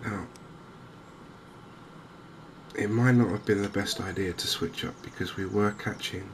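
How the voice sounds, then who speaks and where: A man giving softly spoken, cozy gaming commentary in a gentle, unhurried, musing way. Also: fairly low pitch. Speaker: gaming video host. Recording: clear steady ambient background noise.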